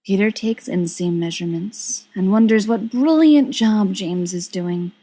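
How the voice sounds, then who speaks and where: A woman reading a story aloud as an expressive narrator. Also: medium pitch, measured pace. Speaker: volunteer audiobook reader. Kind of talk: expressive scripted fiction narration.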